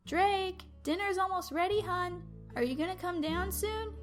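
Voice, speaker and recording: Woman doing a high-pitched, nagging mom. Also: unhurried, faint music behind. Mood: calling, coaxing. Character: nagging, exasperated mother of a teenage gamer. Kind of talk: dramatic story reading.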